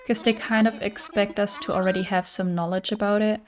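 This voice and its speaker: A young woman with a German accent chats casually, thinking aloud with small fillers and restarts. Her voice sits at a middle pitch, and faint music plays softly behind her. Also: level tone, a little fast. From German-speaking. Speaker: streamer. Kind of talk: casual chat reading viewer messages.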